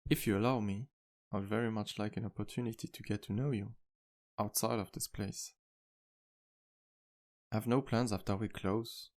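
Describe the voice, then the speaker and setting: A man playing a charming, sweet vampire bartender in an ASMR roleplay, speaking softly, politely and earnestly to the listener. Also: lowish voice, steady tone, moderate pace, long pauses, natural voice. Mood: tender, flirting. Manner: soft-spoken, polite, earnest, flirtatious. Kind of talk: ASMR roleplay, intimate one-sided dialogue to listener.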